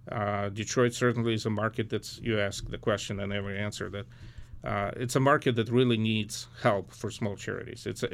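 Man, middle-aged, gravelly, earnest interview guest, reflective. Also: fairly low pitch, a bit bright, a little hiss or hum. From Ukraine. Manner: earnest, reflective, searching for words. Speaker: guest, nonprofit founder. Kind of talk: conversational interview.

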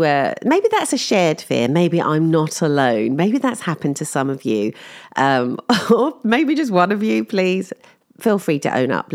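A woman chatting casually to listeners in a personal update, slightly croaky from lack of sleep, laughing as she tells embarrassing stories. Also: on the low side. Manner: chatty, self-deprecating, laughs while telling stories. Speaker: vlogger.

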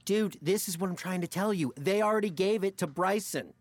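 An actor playing a crude, loyal, excitable office best friend in an audio drama, blunt and slangy with a husky voice. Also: male voice, high voice, a little fast, no room sound. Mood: urgent.

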